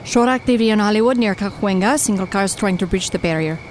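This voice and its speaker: Woman acting as brisk resistance patrol agent. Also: medium pitch, darkish tone, a little hiss or hum, close to an everyday voice. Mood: clipped, official. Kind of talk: naturalistic radio drama acting.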